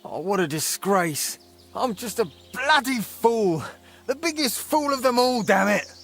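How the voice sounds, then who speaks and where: Self-pitying young craftsman's helper for a video game, high and animated, whiny and faltering. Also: a little slow, creaky, exaggerated voice. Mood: miserable, defensive. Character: Pushko, woeful, self-pitying young bell-founder's helper, man.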